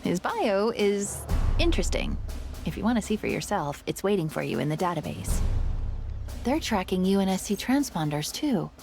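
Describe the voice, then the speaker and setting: A woman's voice: mid-range voice, huge pitch swings, moderate pace, gravelly, faint music behind. A woman voicing a helpful, curious military AI companion in a video game, chatty, wry and eager.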